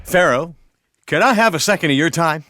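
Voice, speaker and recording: A man's voice: fairly high pitch, animated, moderate pace, noisy background, close to an everyday voice. A man playing a glib, squeamish shapeshifting alien in a comedic audio drama, chatty and fussy. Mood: eager salesman. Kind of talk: comedic audio theatre acting. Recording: music behind the voice.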